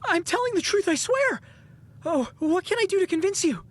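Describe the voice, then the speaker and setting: A woman playing an earnest, stammering, excitable teenage boy inventor from 1915 in an audio drama. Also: extremely high pitch, brisk. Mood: desperate, pleading.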